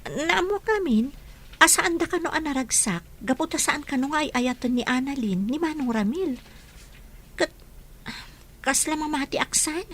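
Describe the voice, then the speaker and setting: A young Filipino woman in a radio drama, the friend who carries the news. She sounds gossipy yet sympathetic, in a somewhat high voice. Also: expressive, normal speed, long pauses, fairly bright tone. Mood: concerned, insistent.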